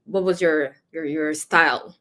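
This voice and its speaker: Low-voiced woman interview host. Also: steady tone, unhurried, fairly bright tone. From Cameroon. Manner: friendly, conversational, with short encouraging responses. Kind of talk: casual interview.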